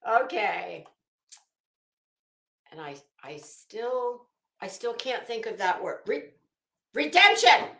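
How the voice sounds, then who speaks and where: Female streamer, high-pitched and slow-paced, chatty, emphatic and digressive, recorded in an echoey room. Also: big pitch swings, vocal fry, pushed and bright. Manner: rambling, self-interrupting, searching for words; dramatic, emphatic storytelling with self-quoting. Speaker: livestream host. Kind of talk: casual livestream chat. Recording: roomy, echoing room sound.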